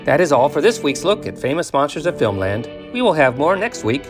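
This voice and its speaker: A man's voice: a little high, very animated, a little fast. A man presenting a scripted podcast review segment in a theatrical, tongue-in-cheek way, posing rhetorical questions. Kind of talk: scripted magazine-review narration. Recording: music bed under the voice. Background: guitar music.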